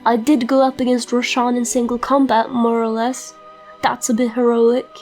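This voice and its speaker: Woman playing a scruffy, gruff would-be hero thief. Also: on the high side, level tone, normal speed, darkish tone, faint music behind, natural voice. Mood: musing, self-satisfied. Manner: colloquial slurred vernacular with broken grammar. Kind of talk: comic fantasy dub.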